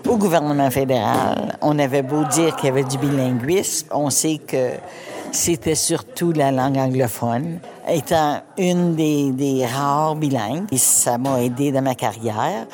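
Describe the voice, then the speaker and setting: A woman in her fifties, a former federal employee, speaking in a measured, earnest way in an interview. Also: extremely low pitch, mellow. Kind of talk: vox pop interview. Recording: music bed under voice.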